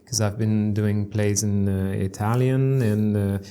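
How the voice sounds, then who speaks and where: A man's voice: on the low side, fairly even intonation, unhurried, dark tone, smooth. A man with a French accent, a theatre director chatting casually as an interview guest, hesitating and repeating words as he thinks. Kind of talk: casual interview chat in English.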